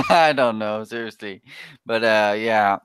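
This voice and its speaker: A French-Canadian man with a thick Québécois accent talks slowly and haltingly in English, hunting for his words between chuckles. His voice is smooth and clear, with a dry, close sound. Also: on the low side, a bit bright, no echo. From Quebec, Canada. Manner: halting, searching for English words, laughs often. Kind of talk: casual voice chat.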